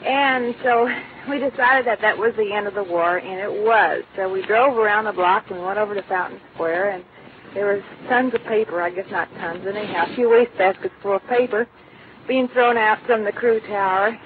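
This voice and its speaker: Halting American woman on tinny old recording. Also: mid-range voice, a little hiss or hum. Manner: halting, self-correcting. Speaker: eyewitness. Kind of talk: informal spoken recollection.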